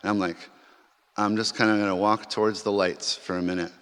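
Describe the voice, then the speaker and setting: A man's voice: on the low side, flat delivery, fairly quick, a bit bright. A man speaking to a symposium audience, telling a personal story in a conversational way with frequent restarts and fillers. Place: large chapel. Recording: long hall reverb.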